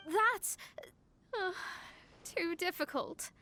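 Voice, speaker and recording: A very high, bright anime game heroine, a proud young astrologer who is broke and melodramatic. She speaks with haughty exasperation and trips over her words when embarrassed, with faint music behind her. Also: animated, drawn-out words, full character voice. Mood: flustered, exasperated.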